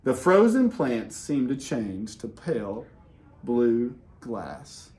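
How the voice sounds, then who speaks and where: A man reading a children's picture book aloud in an expressive way, with dramatic pauses and casual, conversational delivery. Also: medium pitch, a little hiss or hum. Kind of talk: animated read-aloud with casual asides.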